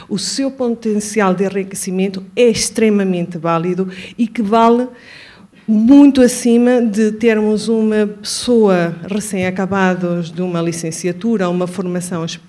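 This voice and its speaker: Female audience member at a public forum, native Spanish speaker with a Venezuelan accent, medium pitch, earnest and wordy, formal delivery. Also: darkish tone. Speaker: audience member asking a question, administrative worker. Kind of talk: spoken question at a public forum.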